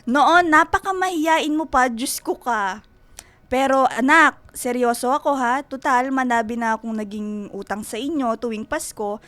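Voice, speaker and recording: A woman's voice: sits high, unhurried, a bit bright, no echo. An actress playing a doting, teasing middle-aged godmother in a Filipino radio drama, affectionate and exclaiming. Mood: delighted, generous.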